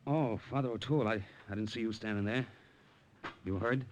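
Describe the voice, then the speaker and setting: An actor playing an earnest American army captain and secret agent, steady and plainspoken, in a 1950s radio drama. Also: male voice, on the low side, a little fast, close to an everyday voice. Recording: old radio recording, faint noise.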